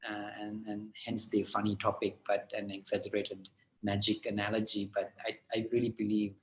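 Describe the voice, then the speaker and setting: A man speaking as a guest mentor in a casual live interview over a video call. He hesitates often and lets his voice fade softly at the ends of his thoughts. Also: fairly low pitch, monotone, moderate pace, muffled recording. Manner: hesitant, many "uh" and restarts, trails off softly.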